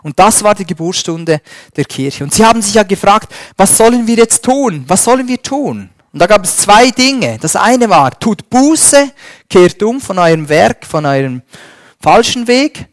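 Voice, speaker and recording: A man preaching a sermon and reading scripture, slow and emphatic, swinging between loud stresses and a dropped voice. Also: high-pitched, sing-song, no echo, studio quality.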